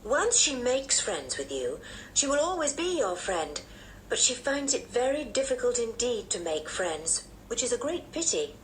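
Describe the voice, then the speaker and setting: A woman voicing a kindly, caring adult aunt with a gentle, reassuring manner in a storybook. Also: a little high, sing-song, moderate pace, a little hiss or hum, voice pushed up, rough recording. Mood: frank, fond.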